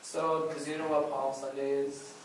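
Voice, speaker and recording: A man presents informally to an audience in a very echoey room, picked up far from the mic. He speaks in a casual, slightly halting way at a medium pitch, with faint noise behind him. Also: level tone. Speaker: puppet show presenter at a church. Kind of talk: casual address to an audience, questions and prayer. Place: church hall, recorded from the audience area.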